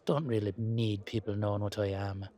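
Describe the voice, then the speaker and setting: A man's voice: fairly low pitch, little pitch movement, normal speed, warm tone, close to an everyday voice. A man voicing a wry, blunt leprechaun with an Irish accent, dry and colloquial, with a hint of menace. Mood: wry, warning.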